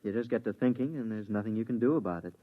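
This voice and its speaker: A man voicing a homesick moon crewman, quick talker, thin tinny old radio sound. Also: fairly low pitch, natural voice. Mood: subdued. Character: married moon expedition crewman, quietly homesick. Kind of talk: 1950s radio drama acting. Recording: tinny vintage radio broadcast.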